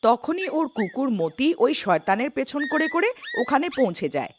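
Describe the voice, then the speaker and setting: A woman's voice: high-pitched, big pitch swings, moderate pace, a little hiss or hum. A woman reading scripted narration for a cartoon folk story.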